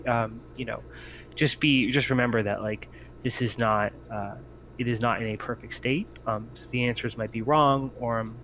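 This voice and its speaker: A man's voice: mid-range voice, vocal fry, fairly bright tone, highs cut off, slight background noise. A man acting as game master, improvising tabletop game narration in a hesitant, explanatory way.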